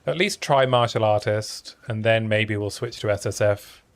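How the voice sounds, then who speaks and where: A man's voice: fairly low pitch, moderate pace. A young man with a soothing voice, chatting casually with his viewers as he streams a game. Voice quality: soothing sleep-story voice. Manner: relaxed, chatty, answering chat between plays. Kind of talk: casual live-stream commentary while playing.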